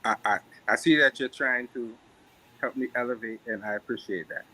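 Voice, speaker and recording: A man, a guest on a live panel, thanking the host, grateful and a little halting. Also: mid-range voice, fairly even intonation, bright, forceful tone, breathy edge, poor audio.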